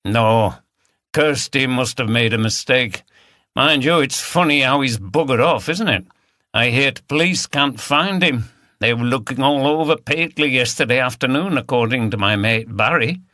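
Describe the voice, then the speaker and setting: Cocky drinker, played by a male narrator, blunt and cocksure, slightly raised forceful voice, audiobook. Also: mid-range voice, moderate pace, no room sound, studio quality, voice pushed up, close to an everyday voice. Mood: puzzled, gossipy. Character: cocky, sniggering Yorkshire pub drinker.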